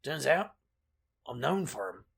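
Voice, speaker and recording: An actor playing a brash, wisecracking investigator in a fantasy audio drama, a reckless charmer with a glib, deadpan delivery. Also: male voice, medium pitch, unhurried, vocal fry, heavy background noise, exaggerated voice. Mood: glib, cocky.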